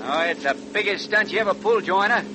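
An actor playing an excitable gangster getaway driver in a 1940s radio crime drama. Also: male voice, high voice, quick pace, lightly acted. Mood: excited. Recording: noisy old 1940s radio recording.

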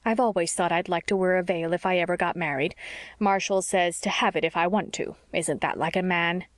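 A woman voicing a blunt, sharp-tongued older spinster in an audiobook story, with a brisk, scolding manner. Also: lowish voice, steady tone, no echo, clean studio sound, natural voice. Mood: tart.

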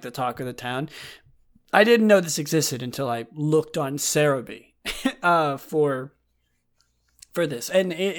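Hoarse man with a raised pitch. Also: fairly bright tone. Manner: rambling, with frequent restarts and self-deprecating asides. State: hoarse, raspy throat from talking a lot. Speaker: podcast host. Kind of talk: casual solo commentary, partly reading a write-up aloud.

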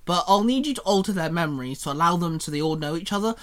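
A man's voice: high voice, sing-song, a little fast, pushed and bright, voice pushed up, exaggerated voice. A man voicing a cheerful, cheeky female time being in a fan audio story. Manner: chirpy and cheeky.

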